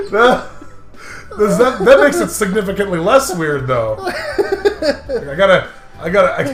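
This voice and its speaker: A high-voiced, animated man gives casual game commentary in a roomy space with music underneath. He jokes crudely and giggles, and drifts into mock-dramatic narration. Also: slow pace, pauses often. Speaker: let's play host.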